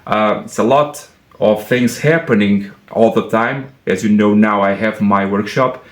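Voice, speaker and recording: A man with a Portuguese accent, a mechanic and channel host, talking earnestly in a halting way, with many fillers and restarts. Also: fairly low pitch, reverberant. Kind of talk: apologetic casual vlog talk. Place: car workshop.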